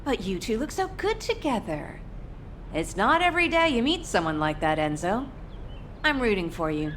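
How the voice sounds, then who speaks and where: A woman voicing a seasoned, caring former captain in a video game, gentle and earnest. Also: medium pitch, sing-song, normal speed, slight background noise. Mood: fond.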